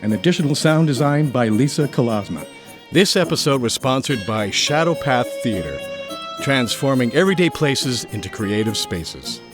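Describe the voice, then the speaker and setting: A man's voice: mid-range voice, expressive, normal speed, over music. A man working as an announcer, reading scripted credits.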